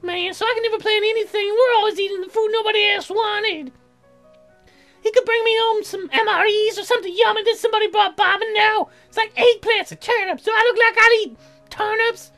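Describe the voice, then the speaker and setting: A woman's voice: extremely high pitch, steady tone, a little fast, a bit bright, faint music behind, pitched above the performer's own voice. A woman voicing a whiny, dim, childish young female colonist in a game, speaking in mangled slangy grammar. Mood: whiny complaining. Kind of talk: game character voiced in a let's play.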